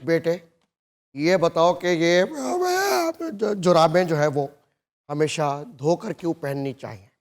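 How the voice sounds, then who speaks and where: High, lively man cracking jokes. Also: slow. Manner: keeps pushing jokes over protests. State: hoarse. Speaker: comedy panelist telling jokes. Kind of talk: casual comedic banter.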